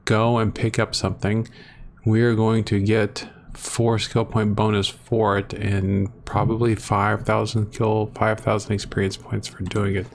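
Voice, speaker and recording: A man's voice: lowish voice, level tone, unhurried, a little hiss or hum. A man hosting a gaming channel, talking through his gameplay in a rambling, self-deprecating way on a close desktop microphone at home. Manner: rambling, self-deprecating, thinks aloud while playing. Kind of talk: casual gameplay commentary.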